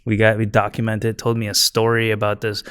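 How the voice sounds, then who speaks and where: Smooth-voiced male podcast host, dry sound. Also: lowish voice, brisk, no echo. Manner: relaxed, acts out past exchanges in quotes. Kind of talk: casual interview chat.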